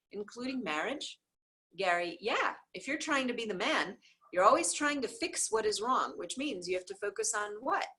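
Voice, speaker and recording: Female book reader, informal and emphatic, lively intonation, breathy-husky tone. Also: mid-range voice. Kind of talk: book read aloud, informal.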